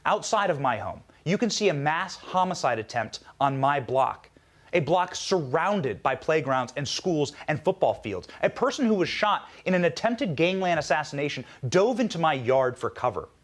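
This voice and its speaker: An American man gives a scripted political commentary with emphatic, rhetorical phrasing. His voice sits a little high and swings widely in pitch. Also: big pitch swings, normal speed.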